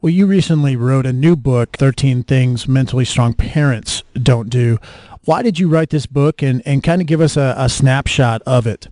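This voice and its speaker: A man's voice: mid-range voice, normal speed, dark tone, no echo. A man hosting an interview podcast in a conversational style.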